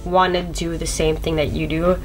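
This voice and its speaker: A woman's voice: sits low, normal speed, faint music behind, rough recording. A nineteen-year-old woman, from the Philippines and Sweden, co-hosting a casual chat and telling stories with a laugh. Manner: chatty, laughs through stories, lively retelling. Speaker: YouTube co-host.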